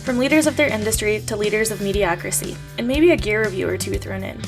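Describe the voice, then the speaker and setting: A woman's voice: medium pitch, fast talker. Woman reading podcast intro over guitar music. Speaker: podcast host. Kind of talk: scripted podcast intro narration.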